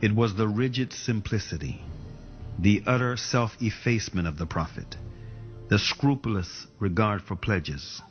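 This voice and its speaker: Male voice-over, low register, measured quotation reading, dull lo-fi sound. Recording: dull lo-fi audio. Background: faint music.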